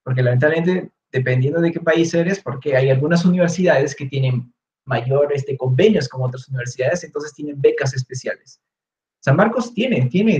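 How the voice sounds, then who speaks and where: A young man with a Peruvian Spanish accent talks through audience questions at an easy pace, often restarting his sentences. His voice sits a little high for a man. The sound is roomy and dull, like a call from a bare room. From Peru. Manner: relaxed, explanatory, with many fillers and restarts. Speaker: guest speaker, researcher. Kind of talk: Q&A answers in an online talk. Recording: echoey room, dull lo-fi audio.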